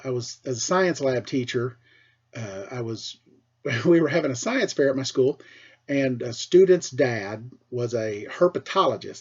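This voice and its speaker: A middle-aged American man, a teacher with a husky voice, tells casual personal stories for children in an animated way, acting things out as he goes. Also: medium pitch, highs cut off. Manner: animated, acts out dialogue and sound effects. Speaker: school teacher telling stories to pupils.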